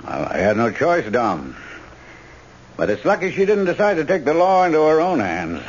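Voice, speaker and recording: An actor playing a matter-of-fact, grimly clinical surgeon in an old-time radio drama. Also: male voice, on the high side, huge pitch swings, moderate pace. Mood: grave, clinical. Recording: muffled, noisy old radio recording.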